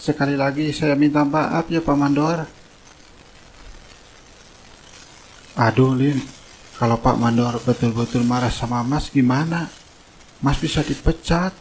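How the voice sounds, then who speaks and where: A man playing a meek, soft-hearted labourer and husband in a cartoon drama. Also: mid-range voice, fairly even intonation, normal speed, slight background noise, close to an everyday voice, rough recording. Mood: apologetic, worried.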